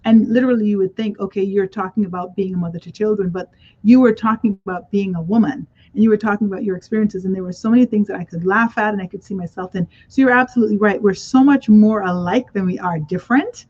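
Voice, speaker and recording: A woman's voice: medium pitch, fast talker. A woman hosting a radio talk show, telling stories in a casual chat and encouraging her guest. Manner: warm encouraging affirmations, storytelling. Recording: dull lo-fi radio audio.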